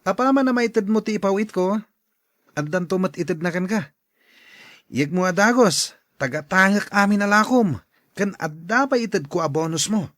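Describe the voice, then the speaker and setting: A man plays a crooked dealer in an audio drama, jovial and persuasive. His voice sits fairly high and moves around a lot, recorded dry and close. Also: animated, normal speed, long pauses, a bit bright, no room sound. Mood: coaxing. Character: scheming, jovial male drug dealer luring poor neighbours.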